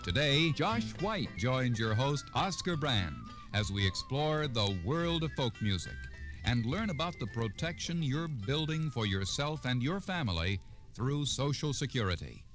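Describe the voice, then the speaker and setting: Animated male vintage radio announcer. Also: mid-range voice, a little slow. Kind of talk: scripted old-time radio announcement. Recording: vintage radio broadcast, music behind. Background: music.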